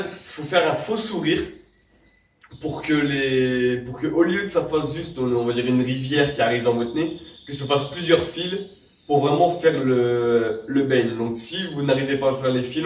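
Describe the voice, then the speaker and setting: A man's voice: mid-range voice, normal speed, a little hiss or hum. A young man with a cold, rambling through a casual how-to tutorial with many fillers and restarts. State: has a cold. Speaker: vape tricks tutorial host. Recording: echoey room, mic at a distance.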